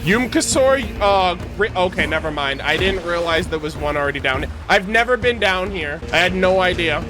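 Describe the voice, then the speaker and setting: High-pitched male game streamer over music. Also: animated, moderate pace. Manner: slangy, talking to chat. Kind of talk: casual live gameplay commentary.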